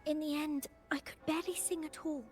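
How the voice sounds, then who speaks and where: Woman playing a high-voiced, self-doubting choir child. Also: flat delivery, a little fast, faint music behind, natural voice. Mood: troubled. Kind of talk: scripted video game dialogue and monologue.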